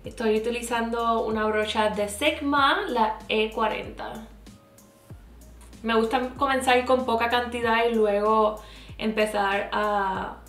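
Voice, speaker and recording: A young woman with a Puerto Rican accent chats casually as she does her makeup on camera, mixing in English words. Her voice sits a little high. The room rings noticeably, with soft music underneath. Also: fairly even intonation, takes it slowly, echoey room. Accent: Puerto Rican Spanish. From Puerto Rico. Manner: chatty, mixes English words into Spanish. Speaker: makeup vlogger. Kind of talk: makeup tutorial, talking while applying. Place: small indoor room with plain backdrop.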